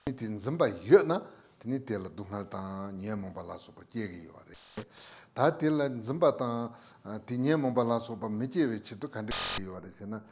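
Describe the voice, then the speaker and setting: A man giving an unhurried Buddhist Dharma teaching in Tibetan, laughing now and then between points. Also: fairly low pitch, lively intonation, a little mellow.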